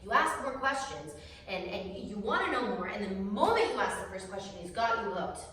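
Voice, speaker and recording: An actress playing Tilda, an anxious, clinging psychiatric patient, with intense, emphatic, theatrical delivery. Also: female voice, medium pitch, very animated, a little fast, fairly bright tone. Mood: agitated. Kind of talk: theatrical stage monologue acting. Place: live stage showcase in a room. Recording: echoey room, distant mic, background noise.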